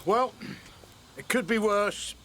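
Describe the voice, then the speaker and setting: Reassuring middle aged dad in radio soap, slightly high voice, bit of background noise. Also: male voice, takes it slowly, a bit bright. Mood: relieved, practical. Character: steady, encouraging middle-aged pub landlord father.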